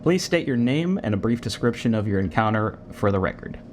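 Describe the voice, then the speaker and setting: A man's voice: fairly low pitch, very animated, a little fast, slight background noise. A man playing a stern, impatient military commander in a sci-fi audio drama, with clipped, commanding delivery. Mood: weary official.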